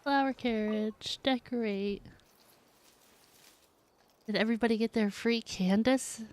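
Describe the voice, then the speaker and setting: A woman's voice: fairly high pitch, a little slow, darkish tone. A woman streaming a video game, chatting casually and reading the game text aloud. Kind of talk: casual gameplay commentary.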